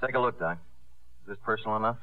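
A man's voice: on the low side, rapid-fire, natural voice. An actor playing a cocky, sarcastic carnival showman with slangy patter in a 1950s radio drama. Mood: excited, dreamy. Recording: muffled, roomy old radio broadcast.

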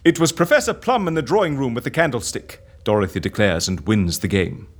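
An actor playing an excitable, scheming man in a comedy audio drama, with a theatrical, musing and breezily unconcerned delivery. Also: mid-range voice, brisk, close to an everyday voice. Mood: excited, commanding. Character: excitable scheming man running a death-faking agency.

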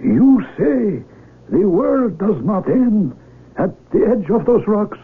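Give this to an older village patriarch in a radio drama. His voice is very husky and pitched high, and he phrases things formally and deliberately. It sounds tinny, like an old broadcast. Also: very animated, full character voice. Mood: grave, questioning. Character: father, learned elder of an isolated mountain valley village.

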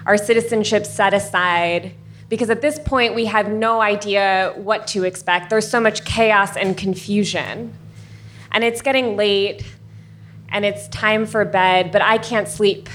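A woman in her late twenties to thirties from the United States, telling a personal story on stage in an earnest, measured way with wry asides. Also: medium pitch, steady tone, a bit bright, slight background noise.

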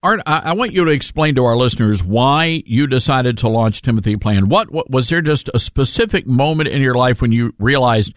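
A male radio talk show host with a very lively, swinging delivery and a mid-range voice. He comments in a sardonic, emphatic, joking way, conversational and aimed at listeners, in a dry studio sound. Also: mid-range voice, a little fast, hardly any pauses, dry recording.

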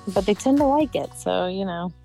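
A woman's voice: medium pitch, animated, moderate pace, vocal fry, warm tone, soft background music, rough recording. A woman co-hosting a podcast over the phone, chatting playfully and teasing the host. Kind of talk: casual chat.

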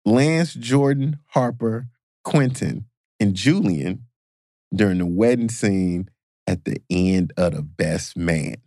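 A male podcast host runs a playful quiz, his voice leaping up and down with excitement. The sound is close and dry, with no room in it. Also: lowish voice, takes it slowly, dry recording. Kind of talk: casual podcast chat.

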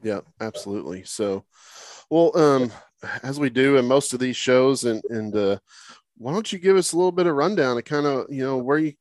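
A man's voice: medium pitch, lively intonation, normal speed, a little mellow, no echo. Folksy male host, dry close sound. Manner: informal, folksy. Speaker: show host. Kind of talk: casual chat interview.

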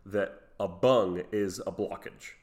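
A man's voice: deep voice, slow. A man co-hosting a podcast in casual banter, joking and playing with words. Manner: joking with wordplay, playful. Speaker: podcast co-host.

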